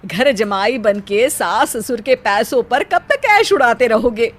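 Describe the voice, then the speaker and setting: A woman voicing a caring middle-aged mother who gently admonishes her son in a comic cartoon story. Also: fairly high pitch, lively intonation, unhurried. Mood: concerned. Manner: concerned, admonishing.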